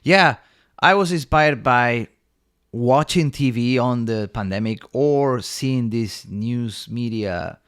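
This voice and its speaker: A man's voice: medium pitch, slow, a bit bright, clear, resonant tone. A man from Argentina, a company co-founder, eagerly telling his story in a rambling way with many fillers. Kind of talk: casual storytelling in an interview.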